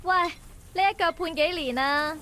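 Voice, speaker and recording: A bratty young woman under arrest mocks the officers who hold her, her voice soaring very high. She keeps it light and taunting, a voiced visual novel troublemaker. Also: moderate pace, fairly bright tone. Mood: teasing. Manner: playful, taunting. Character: cheeky young woman arrested, teasing the police.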